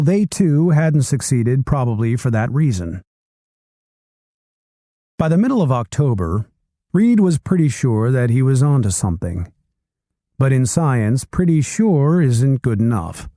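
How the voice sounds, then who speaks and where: A man reading a nonfiction book aloud chapter by chapter, with expressive storytelling delivery. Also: medium pitch, a little slow, long pauses, no room sound, clean studio sound. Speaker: reader.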